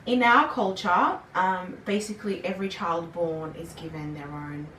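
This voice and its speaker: A woman's voice: on the low side, moderate pace, a bit bright. A young woman appearing as a guest, chatting casually and explaining things at length. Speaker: guest, older sister. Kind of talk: casual chat. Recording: roomy, distant mic, faint noise.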